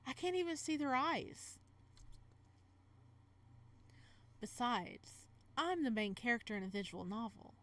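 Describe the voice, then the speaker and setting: A woman's voice: a little high, very animated, moderate pace, a bit bright. Woman reading as flustered male visual novel protagonist. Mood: hushed, romantic. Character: flustered male protagonist narrating his romance game peril. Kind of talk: dramatic first-person story reading.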